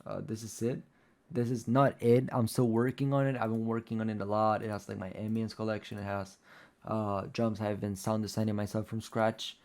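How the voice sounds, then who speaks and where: A man's voice: fairly low pitch, little pitch movement, normal speed, darkish tone. Rambling young man, native Spanish speaker. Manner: rambling, loose, many fillers like "like" and "um". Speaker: music producer. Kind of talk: casual tutorial talk.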